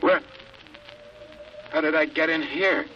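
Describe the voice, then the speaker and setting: An actor playing a desperate, haunted test pilot in a 1950s radio drama, speaking with urgency and intensity. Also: male voice, a little high, soft background music. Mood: dazed, awed. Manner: urgent, intense, halting when entranced. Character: desperate, haunted male rocket test pilot raving under hypnosis. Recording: muffled, hissy old radio recording.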